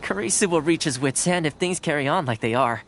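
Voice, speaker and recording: A man voicing an easygoing, smooth-talking male fixer who brokers favours in a video game. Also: a little high, lively intonation, fast talker, a bit bright, a little hiss or hum. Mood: calm, persuasive.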